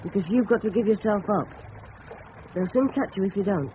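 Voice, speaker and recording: An actor playing a plucky, earnest English teenage schoolboy in a 1930s radio mystery drama. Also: male voice, high-pitched, a little fast, close to an everyday voice. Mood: earnest, pleading. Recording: muffled, very noisy old radio recording.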